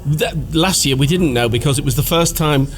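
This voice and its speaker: A man's voice: a little high, moderate pace. A man who plays pantomime dames, chatting agreeably in an interview and often repeating his phrases. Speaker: pantomime dame actor. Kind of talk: casual interview chat.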